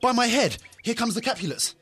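A young man student playing a cautious, peace-keeping gentleman in a Shakespeare audio play, urging and reasoning. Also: very high-pitched, lively intonation, brisk, breathy edge, full character voice. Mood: anxious, reasoning. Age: young adult.

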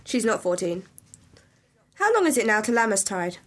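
A woman's voice: mid-range voice, big pitch swings, moderate pace, a bit bright. A woman playing a doting, garrulous nurse in a student Shakespeare audio play. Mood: fond, chatty. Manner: exclaiming, fond, garrulous. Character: Juliet's doting, chatty, earthy old nurse.

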